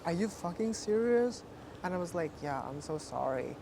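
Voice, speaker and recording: A woman in her twenties from Thailand, with a deep voice, chatting casually in an interview with many fillers and frequent laughs. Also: expressive, moderate pace, vocal fry, noisy background. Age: 20s.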